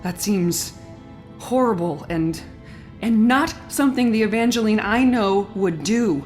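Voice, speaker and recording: An actress playing a troubled, reluctant heroine in an audio drama, questioning and emotional. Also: female voice, medium pitch, lively intonation, a little slow, a little mellow, natural voice. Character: reluctant, troubled young heroine urged to become queen. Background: music.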